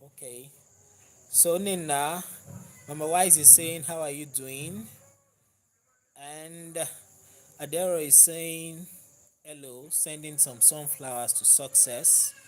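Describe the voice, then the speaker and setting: A man's voice: fairly high pitch, slow pace, pauses often, slight background noise. Nigerian man chatting on a live stream. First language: Yoruba. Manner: conversational, warm thanks to viewers, laughs easily. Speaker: livestream host. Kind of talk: casual live chat, reading viewer comments.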